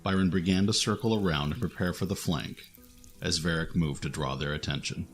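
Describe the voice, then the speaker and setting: A man's voice: low-pitched, a little fast, soft background music. A man reading scripted narration for a fantasy action audio drama as its narrator.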